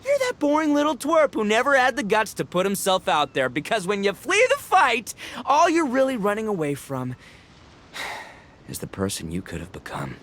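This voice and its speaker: A man's voice: sits high, huge pitch swings, moderate pace, a bit bright, heavily acted. An actor voicing a brash, dramatic young male oni gang boss in a video game, theatrical and bombastic in delivery. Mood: rousing, earnest. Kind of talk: animated video game voice acting.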